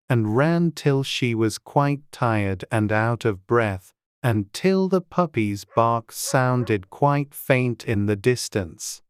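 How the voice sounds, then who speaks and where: Audiobook narrator, slow pace, measured and gentle, middle pitch, dry close recording. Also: smooth, dry recording. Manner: measured, unhurried, gently expressive. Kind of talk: scripted storybook narration.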